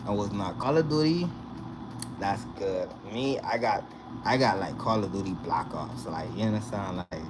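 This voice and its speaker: A young man from the Bahamas, vlogging in a casual, animated way and often checking in with the viewer. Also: fairly low pitch, moderate pace. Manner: animated, repeats "you understand?" to the viewer. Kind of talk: casual direct-to-camera chat. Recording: noisy recording with vehicle sounds behind.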